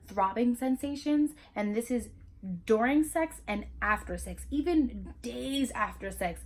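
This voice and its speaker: A young woman with a Spanish accent talking frankly and casually to her viewers, cursing freely and stumbling through fillers and restarts. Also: mid-range voice. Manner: frank and chatty, swears, many fillers and restarts. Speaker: vlogger. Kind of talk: casual educational monologue.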